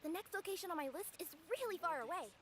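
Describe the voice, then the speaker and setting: A woman's voice: extremely high pitch, expressive, fast, crowd noise. A woman voicing a perky, chirpy young female adventurer in an anime-style video game. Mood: cheerful, eager. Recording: game audio under loud music.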